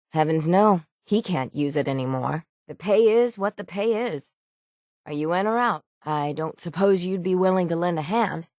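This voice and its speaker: Hard-bitten female shipwright, lowish pitch, salty and plainspoken, stubborn, video game dialogue, dry close sound. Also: darkish tone, no echo. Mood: businesslike. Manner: salty, plainspoken, folksy nautical talk.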